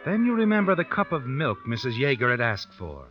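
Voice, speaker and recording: An actor playing a knowing, suspenseful narrator who tells an old-time radio drama in the second person. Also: male voice, medium pitch, very animated, a little fast, close to an everyday voice. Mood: ominous, insistent. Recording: dull vintage radio recording with music.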